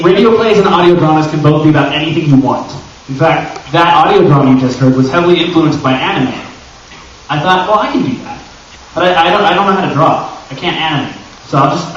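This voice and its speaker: A man presents informally to a room in a slightly high voice, joking and posing rhetorical questions with a theatrical flair. He is heard from far off in a very echoey space, somewhat muffled. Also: expressive, fairly quick, slight background noise. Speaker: convention panel presenter. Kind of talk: informal live presentation to an audience. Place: large echoey indoor panel room.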